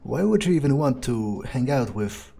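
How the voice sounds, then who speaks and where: A man roleplaying a hesitant, curious vampire in a video game, musing aloud in a halting, wary way. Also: medium pitch, big pitch swings, vocal fry, dark tone, slightly rough, faint music behind, full character voice. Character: hesitant, curious male vampire, wary yet polite loner. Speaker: let's player voicing own character. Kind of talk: in-character improvised game roleplay.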